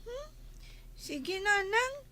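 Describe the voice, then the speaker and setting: Very high, slow, whiny little child. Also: female voice, big pitch swings, sharp tone, heavily acted. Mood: whining. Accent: northern Philippine. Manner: whiny, drawn-out pleading. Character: pleading, whiny young child sent on errands. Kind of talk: comedy radio drama acting.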